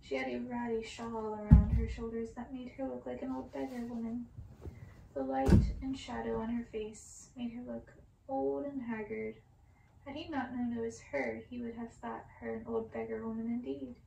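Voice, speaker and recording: Creaky-voiced woman reading softly in ASMR style. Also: on the high side, flat delivery, normal speed, roomy sound, very noisy. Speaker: storyteller.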